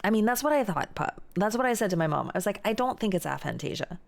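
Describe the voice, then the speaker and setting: A woman's voice: medium pitch, lively intonation, fast talker, vocal fry. A young woman streaming live, chatting casually and reading out and answering viewer messages. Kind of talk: casual chat reading chat aloud.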